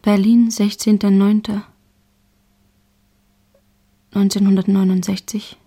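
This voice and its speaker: A woman narrator reading scripted literary prose in a measured storytelling style. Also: mid-range voice, flat delivery, dark tone, husky. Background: plucked guitar music.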